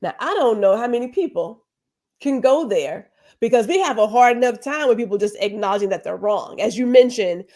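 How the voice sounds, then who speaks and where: A woman with a somewhat high voice co-hosts a casual talk podcast. She speaks emphatically, works in rhetorical questions, and makes her points through vivid storytelling. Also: expressive, fairly quick, dark tone. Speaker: podcast co-host. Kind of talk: casual conversation.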